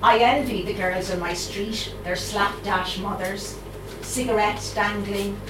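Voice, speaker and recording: A middle-aged Irish woman with a Dublin accent, giving a live poetry reading, reciting in a measured way and chatting hesitantly with fillers between poems. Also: mid-range voice, steady tone, background noise. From Ireland. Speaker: poet. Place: live reading event in a room. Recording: roomy, distant mic, audible room ambience.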